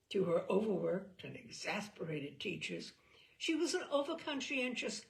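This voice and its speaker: A woman narrates literary fiction with a lightly gravelly creak in her voice. Her delivery is dramatic and measured, with very lively pitch movement and drops into quieter phrasing. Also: low-quality recording. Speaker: reader of short fiction. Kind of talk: expressive literary narration read aloud.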